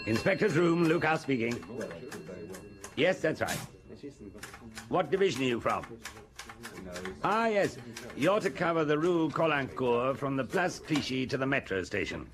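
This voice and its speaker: An actor playing a brisk male police officer giving orders over the telephone. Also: mid-range voice, expressive, natural voice. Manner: brisk, businesslike instructions.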